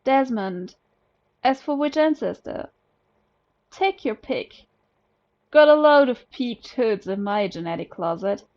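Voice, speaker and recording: A woman's voice: on the high side, animated, slow, pauses often, a little mellow, highs cut off. A woman voicing a tired, sardonic young male captive in a story, with a dry, pointed delivery. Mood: wry, pointed.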